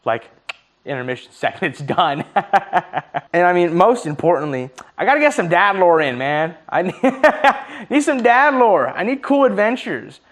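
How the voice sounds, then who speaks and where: A man's voice: high-pitched, very animated, measured pace, fairly bright tone. A man in his early twenties musing aloud in a casual monologue, swearing freely and laughing at his own slips, his voice starting to tire. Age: 20s. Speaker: vlogger.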